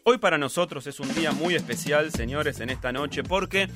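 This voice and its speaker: A man's voice: fairly high pitch, normal speed, over music. A man with a Rioplatense Spanish accent hosting a radio show, playful and teasing as he reads listener messages. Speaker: radio host. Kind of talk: casual radio chat.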